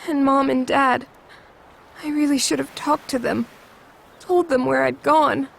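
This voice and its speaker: Sincere young woman, self-critical, high voice, video game dialogue. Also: fairly even intonation, a little slow, creaky, a little hiss or hum. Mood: apologetic. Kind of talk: scripted video game voice acting.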